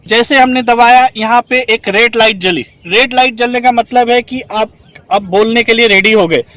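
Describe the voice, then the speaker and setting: High-voiced man teaching a class outdoors. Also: moderate pace, sharp tone, dry recording, poor audio. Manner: explains step by step, asks the class to confirm. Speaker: rescue trainer. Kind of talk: instructional demonstration.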